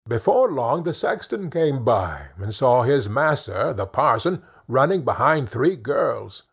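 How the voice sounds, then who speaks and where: A man narrating a children's fairy tale in an expressive storytelling manner, with measured phrasing. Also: mid-range voice, vocal fry, husky. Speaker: audiobook narrator.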